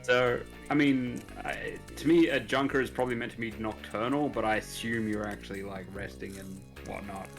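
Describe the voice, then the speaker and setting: A man giving casual commentary on a video game, rambling and trailing off softly. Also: mid-range voice, steady tone, moderate pace, few pauses, over music. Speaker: let's play co-commentator.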